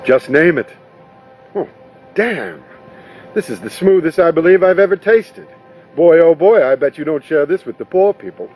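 A man voicing an affable, wisecracking musician in a storybook, breezy and folksy, with a husky voice. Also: fairly high pitch, animated, moderate pace, pauses often, a little mellow, faint music behind. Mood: sympathetic. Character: affable, wisecracking male banjo entertainer, eager to help.

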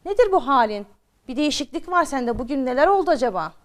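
An inquisitive storybook wife questioning her husband, played by the narrator, a woman. Her voice is lifted high with curiosity. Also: big pitch swings, fast. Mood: curious.

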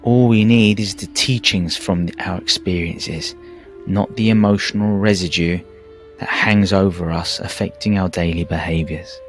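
A man with a fairly low voice leads a guided meditation. His delivery is calm and soothing, with measured phrases and pauses between them. Soft music plays faintly behind him. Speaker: meditation teacher. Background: background music.

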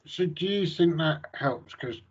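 A middle-aged man interviewing a guest over a video call, laying out his questions in a measured, step-by-step way. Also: a little high, lively intonation, husky. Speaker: chaplain interviewer. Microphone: webcam on a video call. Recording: dull lo-fi video call audio.

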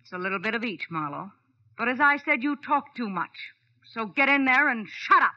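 A high-voiced femme fatale, cold, sly and commanding. She speaks curtly, like a killer in a 1940s radio crime drama, on a dull old recording. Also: lively intonation, moderate pace. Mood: cold, impatient. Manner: curt, commanding. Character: cold, sly female killer holding detective at gunpoint.

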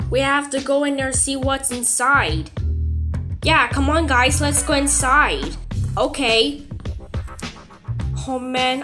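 A woman delivering amateur cartoon dialogue in a simple, drawn-out manner. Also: sits high.

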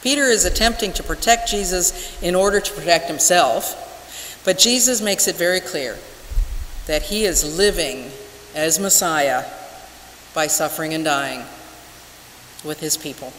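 An older woman preaching a sermon as a minister, measured and deliberate with emphatic pauses. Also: fairly low pitch, fairly bright tone. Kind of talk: church sermon. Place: large wooden church sanctuary, at the pulpit. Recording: echoey church hall with hiss.

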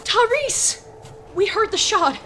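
A woman's voice: very high voice, animated, slow, pushed and bright, slight background noise, exaggerated voice. A woman playing a distraught, anxious young mother in a comic dub. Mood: frantic.

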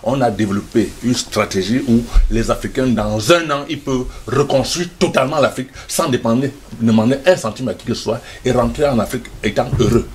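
A man's voice: mid-range voice, brisk, hardly any pauses, a little hiss or hum. An older man speaking as a studio guest in a passionate debate, emphatic and stammering on repeated words.

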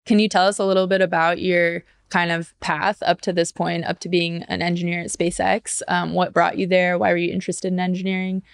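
A young woman hosting a podcast who asks casual, upbeat questions and quick follow-ups, recorded on a boom-mounted studio mic in a large office workshop. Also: flat delivery, clean tone, no room sound. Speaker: podcast host. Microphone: studio mic on a boom arm.

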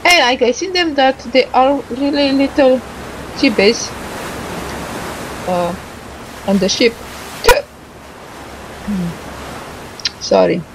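Slow-talking woman commenting on a game. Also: high-pitched, noisy background. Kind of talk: casual playthrough commentary, reading dialogue aloud.

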